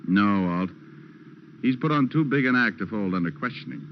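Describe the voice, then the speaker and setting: Hard-boiled sardonic private eye, low American voice, old-time radio drama narration, wry slangy delivery, dull vintage recording with music behind. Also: male voice, lively intonation, normal speed, a little hiss or hum. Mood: wry, cool. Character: wisecracking hard-boiled male private detective, cool and sardonic. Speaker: actor playing narrator and lead detective. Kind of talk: 1940s-50s radio detective drama acting.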